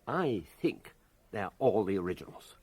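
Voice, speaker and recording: A genteel older English gentleman, shrewd and urbane. His formal sentences rise and fall very widely, and the sound is close and dry, as in a radio comedy. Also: on the low side, very animated, slightly rough, no echo, heavily acted. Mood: thoughtful, confiding. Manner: urbane, unhurried, formal phrasing. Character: genteel, shrewd old Englishman, a prisoner running culture classes. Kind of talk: British radio comedy drama acting.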